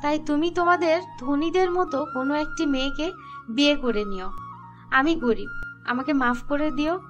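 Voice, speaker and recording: Cartoon role: actress as humble poor young woman, very high girlish voice, earnest, soft music underneath. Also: moderate pace, dull audio, natural voice. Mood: humble, sad. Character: sweet, humble young woman, poor student and dutiful daughter. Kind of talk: cartoon dialogue.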